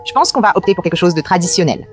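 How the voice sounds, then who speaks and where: A woman's voice: on the low side, animated, racing, natural voice. A woman voicing a traditional-minded, opinionated mother of the bride in a dubbed reality show. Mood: insistent. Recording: music playing under the voice.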